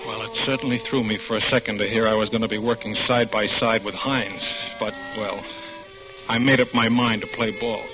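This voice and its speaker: A man's voice: fairly low pitch, fairly even intonation, a little fast, music in the background, close to an everyday voice. An actor playing a bitter young ex-convict telling his own story as narrator. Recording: lo-fi old radio drama.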